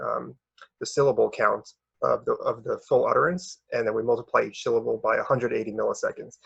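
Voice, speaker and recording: A man's voice: medium pitch, vocal fry, fairly bright tone, breathy edge. A young man giving an explanatory academic presentation as a researcher, in an informal, hesitant manner with repeated words, on a dull recording. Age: young adult. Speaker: researcher presenting a conference paper.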